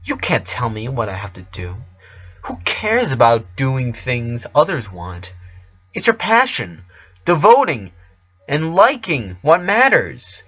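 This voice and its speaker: A man's voice: medium pitch, wildly swooping pitch, slow, fairly bright tone, no echo, dull audio, heavily acted. Man reading as an earnest, defiant young pony heroine. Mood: fed up, resolute. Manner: earnest, resolute. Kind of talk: storybook character reading.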